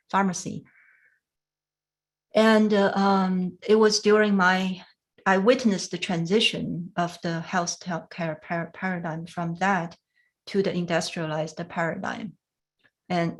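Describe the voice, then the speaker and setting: Soft-spoken Chinese-accented woman, halting. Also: fairly low pitch. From China. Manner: soft-spoken, halting, many fillers and restarts. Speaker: panel speaker. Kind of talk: reflective personal testimony.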